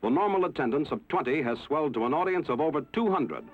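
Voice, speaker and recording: A man narrating a 1960s newsreel in a measured, formal announcer style. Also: a little high, lively intonation. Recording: tinny lo-fi old film soundtrack with music.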